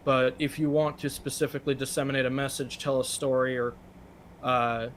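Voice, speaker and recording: A man's voice: mid-range voice, flat delivery, brisk, slight background noise. A man chatting casually in a group reaction commentary, swearing freely as he talks. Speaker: reaction show co-host. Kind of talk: casual group commentary while watching videos.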